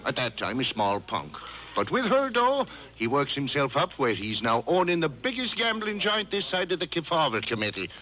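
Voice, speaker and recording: An actor playing a chatty, rough-edged bartender in a 1950s radio drama, talking in tough-guy slang. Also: a little high, very animated, moderate pace, bright, forceful tone. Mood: chatty, gossipy. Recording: dull old radio tape.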